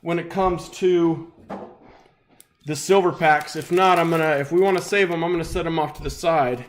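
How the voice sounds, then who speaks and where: A young adult man hosting a live sports card break, slightly raspy and chatty as he opens packs. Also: fairly high pitch, steady tone, moderate pace. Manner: upbeat, chatty, talks while opening packs.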